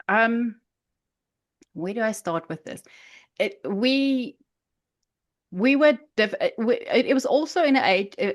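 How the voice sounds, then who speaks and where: A woman from South Africa with an Afrikaans accent, a podcast guest telling her life story haltingly, with many restarts. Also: medium pitch. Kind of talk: personal story in an interview.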